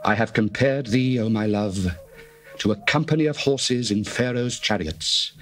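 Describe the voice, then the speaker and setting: A man playing an adoring young lover, measured and low. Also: a bit bright. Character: adoring young male lover praising his bride. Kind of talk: dramatized scripture reading. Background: faint music.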